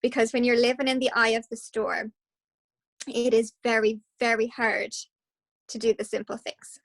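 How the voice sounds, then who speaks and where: An Irish woman speaking as a guest hypnotherapist, passionate and animated, with quick restarts. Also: a little high. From Ireland. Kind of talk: casual interview talk.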